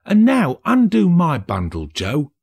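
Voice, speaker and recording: A brazen, callous thieving woman from a classic storybook, acted out by a male reader. The voice leaps up high and swoops about, with a rough edge. She talks in emphatic, scornful, colloquial bursts, defiant and unashamed. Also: wildly swooping pitch, a little slow, darkish tone, no echo, clean studio sound, full character voice.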